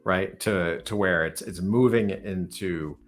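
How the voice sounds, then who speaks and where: A man hosting a casual podcast conversation, thinking out loud with frequent restarts and "right?". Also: low voice, slow pace.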